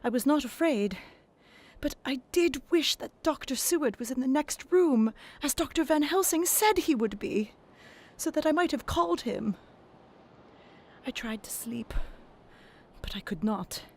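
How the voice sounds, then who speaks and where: A woman narrating a gothic novel in the first person, expressive and dramatic, dropping to whispers in the hushed passages. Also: high voice, a little slow.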